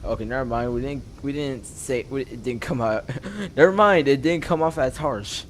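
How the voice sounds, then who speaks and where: A man doing casual gameplay commentary, rambling and halting, often repeating himself as he goes. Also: mid-range voice, slight background noise. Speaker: gamer playing and commenting. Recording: loud game music behind.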